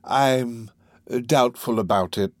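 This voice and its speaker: A man voicing a wily, quick-tempered old gang master in a storybook reading, with a drawn-out, coaxing delivery. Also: mid-range voice, no room sound, natural voice. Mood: gloomy. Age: older.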